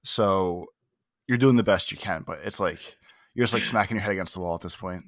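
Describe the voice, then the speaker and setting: A man's voice: sits low, a little fast, fairly bright tone, no echo, clean studio sound. A man co-hosting a casual show review, wry and self-deprecating, sometimes swearing. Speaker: podcast co-host. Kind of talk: casual chat.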